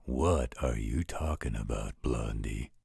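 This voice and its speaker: Very deep, gravelly werewolf guardian. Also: male voice, a little mellow, no room sound, clean studio sound, exaggerated voice. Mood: gruff, defensive. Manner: slow, protective, fatherly. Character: gruff, overprotective male werewolf guardian, tender toward his ward. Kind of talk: audio roleplay acting.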